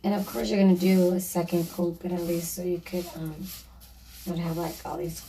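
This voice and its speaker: A mother with a raspy, gravelly, slightly hoarse voice talks slowly while she works, rambling and apologizing as she explains each step. Her tone is mellow and dark. She sounds a little distant from the mic, with faint noise behind her. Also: fairly low pitch, level tone. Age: adult, a mother. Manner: chatty, apologetic, rambling with fillers. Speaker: DIY vlogger. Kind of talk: casual how-to narration while painting.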